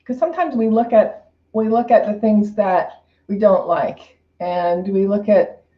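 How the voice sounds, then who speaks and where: A woman's voice: mid-range voice, unhurried. A woman minister giving an informal, unscripted spiritual talk in a reflective, conversational way, often repeating and rephrasing her phrases. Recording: roomy, slightly dull lo-fi sound.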